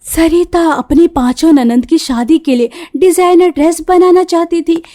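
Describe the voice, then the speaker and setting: A woman's voice: very high voice, normal speed, dark tone. A woman reading scripted narration for a cartoon moral story. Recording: music behind the voice. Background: background music.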